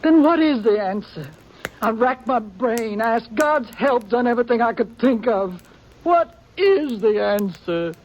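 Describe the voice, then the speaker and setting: A woman's voice: a little high, unhurried, rough recording. A grim old frontier grandmother. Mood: desperate. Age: older. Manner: plain rural speech, grim and steady. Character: stern elderly frontier grandmother, grim and resolute. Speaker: grandmother of a young gunman. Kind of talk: 1950s radio drama acting. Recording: faint hiss on an old radio recording.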